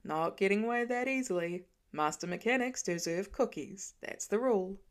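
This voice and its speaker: A woman playing a kindly, gruff father with an easygoing, affectionate manner in an audio story. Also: medium pitch, animated, normal speed, lightly acted. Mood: warm, relaxed.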